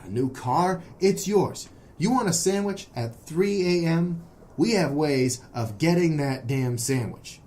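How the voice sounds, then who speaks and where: An actor playing an earnest, nervous young man, hesitant and excitable, in a noir audio drama. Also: fairly high pitch, unhurried. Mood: earnest, agitated. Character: earnest, nervous young male defector from secret brotherhood.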